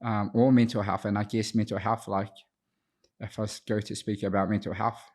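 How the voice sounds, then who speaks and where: Low, even-toned New Zealand man. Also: brisk. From Auckland, New Zealand. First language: Te Reo Māori. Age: 30s. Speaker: podcast guest, addiction counsellor. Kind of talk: personal story in a podcast interview.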